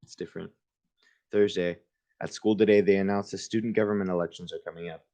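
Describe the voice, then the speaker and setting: Low-voiced man reading aloud. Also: fairly even intonation, fast, dark tone. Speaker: reader hosting a book livestream. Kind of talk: reading a children's book aloud with casual asides.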